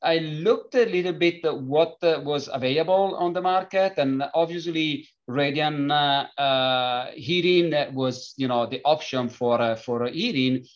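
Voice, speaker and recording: Italian man, halting, full of fillers. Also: on the high side, muffled recording. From Italy. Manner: hesitant, many filler sounds and restarts. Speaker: company founder, guest. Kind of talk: conversational interview.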